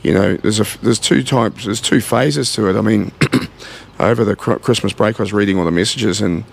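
A man in his forties with a New Zealand accent, talking earnestly and haltingly about his gym in a casual interview, recorded on a handheld mic in a large indoor gym. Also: lowish voice, creaky, husky. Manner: earnest, halting, many fillers and restarts. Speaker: gym owner.

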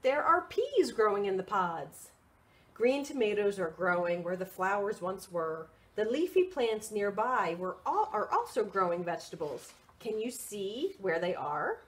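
A woman reading a picture book aloud for children's storytime, expressive and sing-song, prompting young listeners with questions. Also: medium pitch, a little slow.

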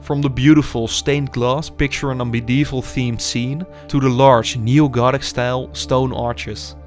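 A young man reads scripted documentary narration in a measured, explanatory way. His voice sits at an ordinary middle pitch, and faint music plays underneath. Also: steady tone. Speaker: urban explorer narrator.

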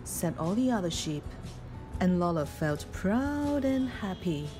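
A woman reading a children's picture book aloud in an expressive, deliberate storytelling manner. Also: fairly low pitch, over music. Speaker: storybook reader.

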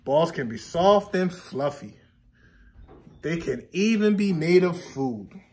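A man's voice: fairly high pitch, expressive, slow, a little mellow, slight background noise. A man in his thirties reading a picture book aloud to young children, stressing key words and putting questions to them. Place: indoor classroom.

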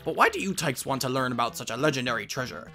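Man doing an excitable old bookish professor. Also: mid-range voice, fast, faint music behind. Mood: scholarly, earnest. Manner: formal, old-fashioned phrasing with theatrical exclamations.

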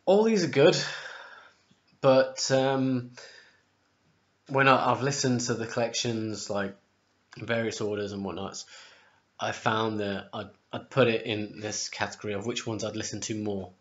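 A man with a sore, hoarse throat talks casually to a webcam in his kitchen, wandering off track and correcting himself as he goes. His voice sits a little low. The sound is muffled and lo-fi. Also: fairly even intonation, measured pace, pauses often. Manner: rambling, chatty, corrects himself. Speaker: music vlogger. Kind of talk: casual album ranking vlog. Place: home kitchen, indoors. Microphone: webcam at close range. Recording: dull lo-fi webcam audio.

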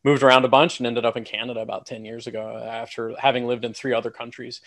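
American man, casual explainer, mid-pitched. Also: level tone, fast talker, a bit bright. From southern United States, North Carolina. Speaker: guest expert, financial planner. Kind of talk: casual explanatory interview talk. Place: indoor room with a whiteboard, on a video call.

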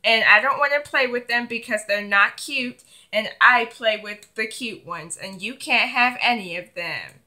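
A woman's voice: on the high side, measured pace, few pauses, bright, forceful tone. A woman voicing a bossy, selfish older sister, a young girl, in a children's doll play skit. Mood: bossy, condescending. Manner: bossy, dismissive.

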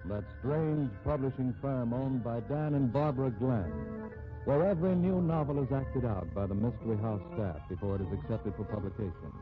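An actor playing the announcer of a mystery show, narrating deliberately in scripted 1950s radio style. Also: male voice, medium pitch, close to an everyday voice. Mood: dramatic. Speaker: announcer and scene-setting narrator. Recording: tinny 1950s radio recording with music.

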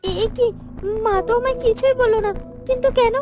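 A woman's voice: very high voice, a little fast. A woman voicing a meek, dutiful young village girl in a cartoon, anxious and eager to please. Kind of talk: cartoon voice acting. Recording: music behind the voice.

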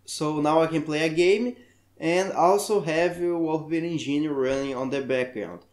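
A man from Brazil with a Brazilian Portuguese accent, a native Portuguese speaker, talking through a software tutorial in halting English and searching for words. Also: a little high, echoey room. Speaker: tech tutorial maker. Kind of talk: screen-recorded software tutorial.

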